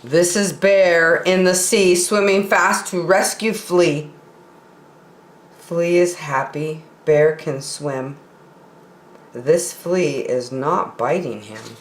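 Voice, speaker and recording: A woman reading picture books aloud expressively for children, with measured dramatic pauses, in a roomy space. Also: low voice. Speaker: children's story time reader.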